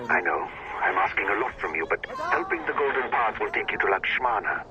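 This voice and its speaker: A man's voice: lowish voice, big pitch swings, brisk, dull audio, heavy background noise. An actor playing an earnest, persuasive male rebel commander in a video game cutscene, speaking with solemn appeal. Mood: warm persuasion. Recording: music behind the voice.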